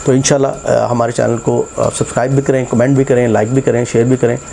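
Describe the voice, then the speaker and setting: Fast-talking animated tutor, man. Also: mid-range voice, vocal fry, a little hiss or hum. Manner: animated, mixes English phrases into speech, rhetorical questions. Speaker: English test tutor and institute head. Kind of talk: casual classroom interview and explanation.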